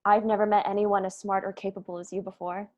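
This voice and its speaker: A woman's voice: mid-range voice, flat delivery, fast, lightly acted. Woman playing a brainy, eager college student. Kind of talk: table read of a comedy script.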